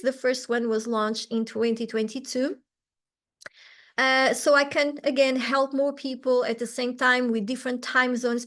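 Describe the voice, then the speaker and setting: A woman's voice: a little high, a bit bright. A Portuguese woman in her forties, speaking English with a Portuguese accent, teaching an online lesson in a chatty, bubbly way with frequent laughter. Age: 40s. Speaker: language teacher.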